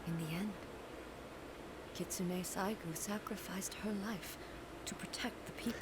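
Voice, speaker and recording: A woman voicing a solemn, grieving immortal warrior goddess in a video game, speaking in a formal, measured, earnest way. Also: on the low side, heavy background noise, natural voice. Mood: sorrowful. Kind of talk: dramatic video game voice acting. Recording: loud game music behind the voice. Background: loud video game music.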